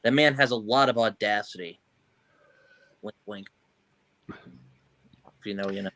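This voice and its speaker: A man's voice: fairly low pitch, unhurried, bright, forceful tone, dry recording. A man on a book discussion panel, talking in a casual, joking style.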